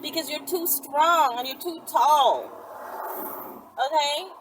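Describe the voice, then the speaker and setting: A woman with a high-pitched voice talks off the cuff to her viewers. Her manner is animated and blunt, full of casual slang. There is noticeable noise in the recording. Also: low-quality recording. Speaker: channel host answering viewer questions. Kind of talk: casual chat to viewers, informal and unscripted.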